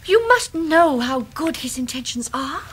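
An actress playing a gentle, anxious, submissive young mother in a radio drama, with a timid, pleading manner. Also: sits high, very animated, unhurried, exaggerated voice, rough recording. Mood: flustered reproach. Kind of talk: 1990s radio drama acting.

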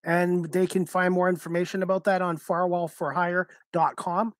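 A man's voice: high voice, flat delivery, moderate pace, no echo, clean studio sound. A middle-aged man casually interviewing a guest as talk show host, speaking into a headset. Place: indoors, video call.

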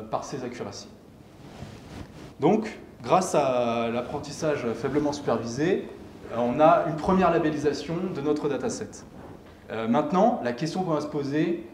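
A man's voice: mid-range voice, a little mellow. Young man presenting in an echoey room. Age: young adult. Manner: halting, explanatory, asks himself questions. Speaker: tech meetup speaker presenting his company's software. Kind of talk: technical conference presentation. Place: indoor lecture room, at a lectern. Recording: echoey room with clear background noise.

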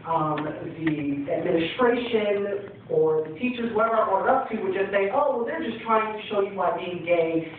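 A young man speaking on a panel with a handheld mic, telling his own story in an earnest, halting way with many fillers. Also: high-pitched, lively intonation, a little hiss or hum. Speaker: youth center panelist. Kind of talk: personal testimony on a panel. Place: screening room panel, large hall. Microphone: handheld mic, recorded from far in the audience. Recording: echoey hall, far off, tinny.

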